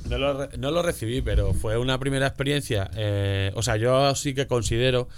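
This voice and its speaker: A man's voice: mid-range voice, a little fast. A man speaking as an interview guest in casual chat, colloquial and often tagging statements with questions. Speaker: guest comics author being interviewed. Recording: clear background noise.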